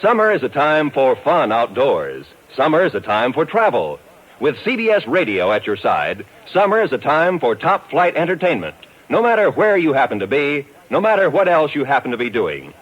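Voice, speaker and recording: A man's voice: mid-range voice, very animated, moderate pace, slightly rough. A man delivering a scripted 1950s radio network promo with upbeat, polished salesmanship. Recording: dull, muffled vintage radio recording.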